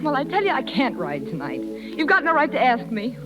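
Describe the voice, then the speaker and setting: An actress playing a high-strung, pleading young circus rider with an emotional delivery in a 1930s radio drama. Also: female voice, wildly swooping pitch, fairly quick. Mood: distraught. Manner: emotional, plaintive. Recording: muffled 1930s radio, loud music behind.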